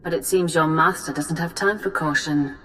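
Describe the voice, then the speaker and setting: A woman's voice: low voice, moderate pace, a bit bright, a little hiss or hum, low-quality recording. A woman voicing a stern, direct female Jedi master spirit in a video game, speaking formally. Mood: earnest, direct.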